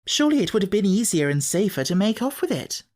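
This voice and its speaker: A man's voice: high voice, very animated, quick pace, a little mellow, pitched above the performer's own voice. A man voicing a genteel mother in an audiobook, proper and kindly but firm and anxious. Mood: distracted. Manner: proper, questioning, motherly authority. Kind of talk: audiobook character acting.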